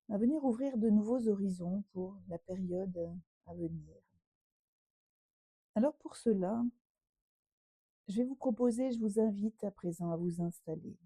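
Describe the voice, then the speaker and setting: A woman yoga teacher leading a guided relaxation meditation in a gentle, unhurried, instructing way with pauses. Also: medium pitch, husky, no echo.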